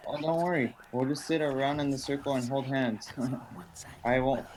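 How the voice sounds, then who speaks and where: A man's voice: medium pitch, steady tone, normal speed, a little mellow, slight background noise, natural voice. A man playing a wry, wisecracking, resigned husband in a radio drama, with a sardonic, joking delivery. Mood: teasing.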